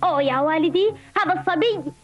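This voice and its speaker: Very high-pitched bratty insect boy. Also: quick pace. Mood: sulky, scornful. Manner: whiny, demanding. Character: spoiled, rude, greedy young insect boy. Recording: dull lo-fi old cartoon dub, faint music.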